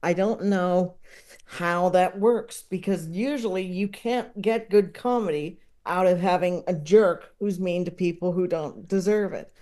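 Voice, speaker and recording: A man's voice: sits high, measured pace, warm tone. A man speaking as a podcast guest, chatting casually and analytically about what he loves, often hesitating as he thinks aloud. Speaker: podcast guest, comedy enthusiast. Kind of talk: casual opinionated film chat.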